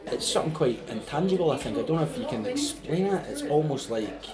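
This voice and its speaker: A man's voice: on the high side, very animated, quick pace, a loud crowd. A man reflects casually on home, hesitant and searching for words. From Scotland. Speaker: interviewee. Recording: loud music over the voice.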